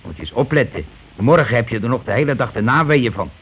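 An actor playing a scheming, bossy working-class husband who talks glibly and colloquially in a radio play, always justifying himself. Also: male voice, medium pitch, lively intonation, fast talker, a bit bright, slightly rough. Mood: glib, persuasive. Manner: glib, colloquial, self-justifying. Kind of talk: Dutch radio play acting. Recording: noisy old radio play recording.

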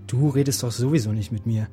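A man playing a subdued, quietly curious police officer in a radio crime drama, talking tersely. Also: mid-range voice, fast, faint music behind, close to an everyday voice. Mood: wry.

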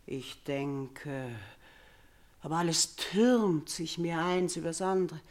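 Actress as haunted, imperious mother-queen in a radio drama, middle-aged, declamatory, mid-pitched, from hushed dread to piercing cries. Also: natural voice. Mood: desperate, confused. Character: tormented ageing mother and queen, haunted by nightmares, superstitious, imperious. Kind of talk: theatrical radio play acting.

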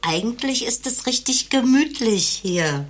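An actress playing a genteel, chatty woman patient with a husky voice and polite, affected manner in a satirical radio play. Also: a little high, lively intonation, unhurried. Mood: politely admiring. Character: genteel, admiring waiting-room chatterbox lady.

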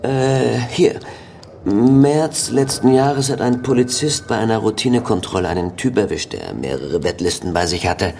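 A man's voice: lowish voice, expressive, moderate pace, gravelly, darkish tone, slight background noise. An actor playing a matter-of-fact policeman giving a clipped, official report in a radio drama. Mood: factual, speculating. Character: matter-of-fact male police colleague briefing a detective.

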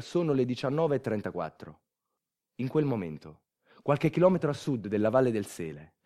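A man's voice: mid-range voice, dark tone, close to an everyday voice. A man acting a theatrical monologue as a wry everyman storyteller, colloquial. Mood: grave, suspenseful. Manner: wry, colloquial, repeating catchphrases. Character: wry everyman storyteller recalling football and old times. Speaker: actor narrating a monologue.

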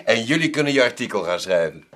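An actor playing a genteel, generous middle-aged art owner in a radio drama, husky, courteous and measured, savouring his phrases. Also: mid-range voice, fairly bright tone, close to an everyday voice.

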